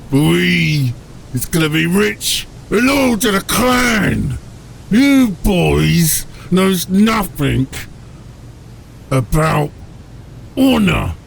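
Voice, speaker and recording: Gravelly, bossy ork captain. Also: male voice, high voice, sing-song, extremely slow, pauses often, slight background noise, voice pushed up, full character voice. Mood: furious. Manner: coarse ungrammatical speech, barking orders. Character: gruff, bossy male ork submarine captain, short-tempered. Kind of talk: fantasy audiobook character acting.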